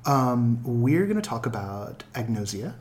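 A man's voice: medium pitch, very animated, unhurried, a little mellow, a little hiss or hum. A man hosting a podcast in casual chat, rambling and self-deprecating with many restarts. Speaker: podcast host.

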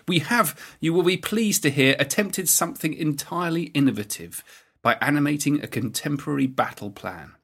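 A British man hosting a podcast, reading scripted history narration in a measured, emphatic presenter style. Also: mid-range voice, a bit bright. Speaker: podcast host.

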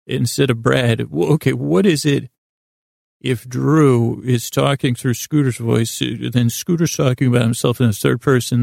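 Very creaky, rambling male podcast host. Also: mid-range voice, a little mellow, no echo, studio quality. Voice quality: very creaky, gravelly voice. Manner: meandering, halting, trails off mid-thought. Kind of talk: rambling bedtime storytelling monologue.

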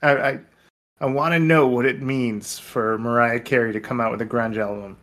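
A man's voice: mid-range voice, fairly even intonation, moderate pace, a bit bright. A man co-hosting a podcast, chatting casually with wry, playful turns of phrase. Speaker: podcast co-host. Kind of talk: casual movie podcast chat.

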